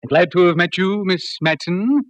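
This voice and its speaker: An actor playing a polite, slightly hesitant opera baritone in an old radio drama. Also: male voice, moderate pace, lightly acted.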